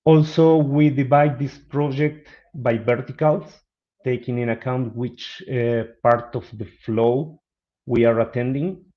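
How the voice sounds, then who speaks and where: A man's voice: mid-range voice, steady tone, a little slow. A middle-aged man from Argentina with an Argentine Spanish accent, presenting as a guest speaker in a webinar. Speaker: guest speaker, business analyst. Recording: dull lo-fi online call audio.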